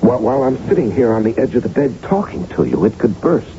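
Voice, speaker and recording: An actor playing an anxious, melodramatic American married man in a 1980s radio drama, halting and stammering yet insistent. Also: mid-range voice, lively intonation, natural voice. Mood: grave, insistent. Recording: dull, noisy old radio broadcast.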